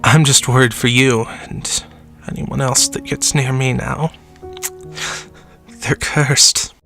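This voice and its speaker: A man's voice: mid-range voice, steady tone, takes it slowly, vocal fry, heavily acted. An actor playing a sickly, dying man, bitter and self-loathing, who laughs wryly and drops to whispers, in a horror audio drama. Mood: weary. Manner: wry, mocking laughs, sinking to whispers. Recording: soft music underneath.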